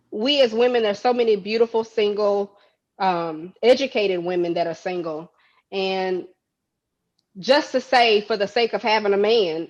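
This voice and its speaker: Interview guest, woman in her forties, earnest and reflective, mid-pitched voice, muffled lo-fi sound. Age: 40s. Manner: halting starts, then earnest and reflective. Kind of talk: candid conversation.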